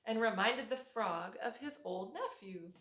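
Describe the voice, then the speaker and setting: Slow-paced woman reading to young children. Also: medium pitch. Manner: slow, rhythmic, expressive phrasing for children. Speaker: preschool teacher. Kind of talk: storybook read-aloud for young children. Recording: echoey room.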